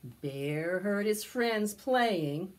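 A woman's voice: medium pitch, lively intonation, drawn-out words, a little hiss or hum. A middle-aged woman reading a picture book aloud to preschoolers, expressively, stretching out words for young listeners. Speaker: library storytime reader. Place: indoors, in front of a decorated backdrop.

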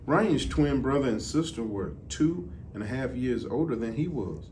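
A man's voice: mid-range voice, expressive, moderate pace, a little mellow. Middle-aged man reading aloud, slightly distant. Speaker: deputy fire chief. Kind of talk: children's storybook reading. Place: indoor office, seated. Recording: distant mic, some background noise.

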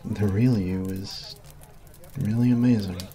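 Low-pitched, slow-spoken man as a goofy college jock, earnest and dark-toned, close-miked. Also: fairly even intonation, natural voice. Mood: teasing. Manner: earnest, flustered, apologetic. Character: sweet goofy male college jock, best friend. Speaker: voice actor. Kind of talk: ASMR roleplay. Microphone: close binaural mic.

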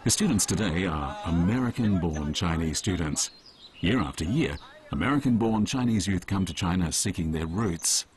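A man reading scripted documentary narration in a measured, even way. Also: low voice. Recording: voice-over with music bed.

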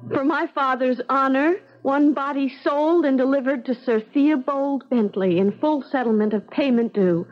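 An actress playing a defiant, outspoken young bride with intense dramatic delivery in a 1970s radio drama. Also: female voice, sits high, moderate pace, few pauses, natural voice. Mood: anguished, bitter. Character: defiant young modern bride thrown back to colonial times. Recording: muffled 1970s radio broadcast.

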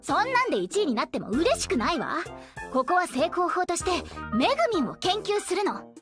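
Anime audio drama role: vain, whiny goddess, very high voice, wildly animated, haughty. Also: female voice, loud music behind the voice. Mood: smug, scheming. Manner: haughty, dramatic, quick to plead.

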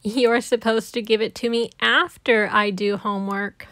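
A woman's voice: fairly high pitch, steady tone, moderate pace, pushed and bright, dry recording, clean studio sound. A woman voicing a mischievous, whiny little child with taunting exclamations in a children's storybook. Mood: cheeky, defiant. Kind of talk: children's storybook read-aloud.

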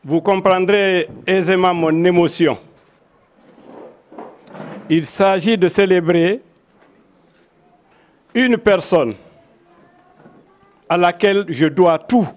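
An older man with a Guinean accent giving a measured, oratorical public speech with personal recollections into handheld microphones. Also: sits high. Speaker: veteran local dignitary. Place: crowded covered event venue. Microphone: handheld microphones held in front.

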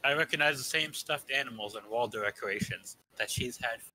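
A man's voice: mid-range voice, fairly quick, pushed and bright, soft background music, poor audio. A man reading scripted first-person narration from a visual novel as part of a group staged reading.

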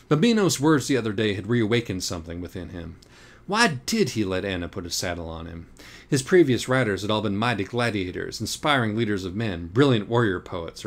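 A man reading scripted audiobook narration in a wry, deliberate, literary manner. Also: fairly low pitch. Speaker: audiobook reader.

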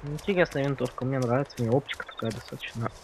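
A man's voice: mid-range voice, quick pace, a little mellow, a little hiss or hum. A man talking casually with his teammates as they play a game. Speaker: gamer in a group session. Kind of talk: casual gaming chat.

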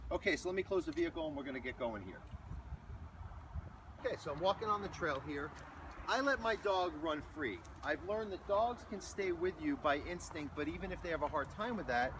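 A man's voice: on the high side, noisy background. A man with an American accent, a dog trainer explaining obedience steps while walking his dog on a hiking trail and calling commands to it. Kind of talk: outdoor training demonstration.

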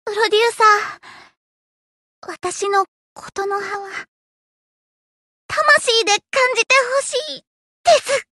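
A woman's voice: very high voice, slow, long pauses, pushed and bright, dry recording, clean studio sound, full character voice. A woman voicing a theatrical gothic teenage idol girl from an anime game, with grandiose, dramatic delivery and a shy, sweet side. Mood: earnest, tender. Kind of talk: anime game character voice lines.

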